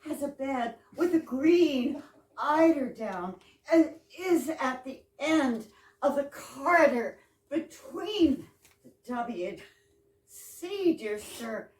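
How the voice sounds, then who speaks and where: A genteel, astonishable wife from an absurdist stage comedy, played by a woman, high-pitched and slow, with big swoops. She is theatrical and exclamatory, recorded in an echoey room. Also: expressive, a little mellow. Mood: bewildered delight. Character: genteel British wife, theatrically amazed at every coincidence. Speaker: amateur readers theater actor. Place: live stage reading in a room. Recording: echoey room, distant mic with noise.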